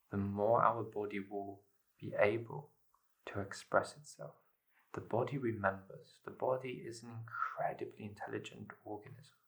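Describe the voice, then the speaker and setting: A man leading a guided meditation, giving measured instructions in short phrases with pauses between them. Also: on the low side, fairly bright tone, rough recording.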